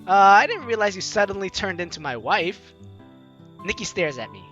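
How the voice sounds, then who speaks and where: A man's voice: high voice, moderate pace, highs cut off. A young man hosting a let's play, casually commenting and reading game dialogue aloud in a playful way. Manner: animated, playful. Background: game music.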